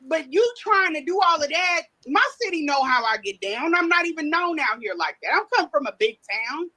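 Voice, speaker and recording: A woman's voice: high voice, bright, forceful tone, poor audio. A woman in her forties bluntly and crudely defending her personal history in an interview clip. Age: 40s.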